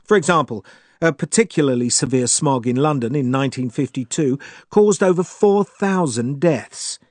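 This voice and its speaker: A man's voice: medium pitch, lively intonation, normal speed, no room sound. A man delivering a scripted academic lecture as the lecturer.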